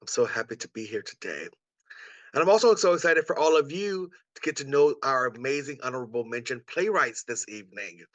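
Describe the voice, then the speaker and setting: Bright-voiced, enthusiastic male co-host. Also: medium pitch, expressive, brisk, no room sound, low-quality recording. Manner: enthusiastic, welcoming. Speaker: co-host, artistic producer. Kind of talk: upbeat event hosting, introductions and questions. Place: indoors on a video call.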